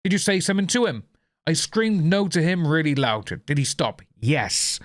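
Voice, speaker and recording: A man's voice: moderate pace, dry recording. Welsh man reading testimony in a dry room. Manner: steady, low-key reading with apologetic asides. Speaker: YouTube commentator. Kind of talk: reading trial testimony aloud with commentary.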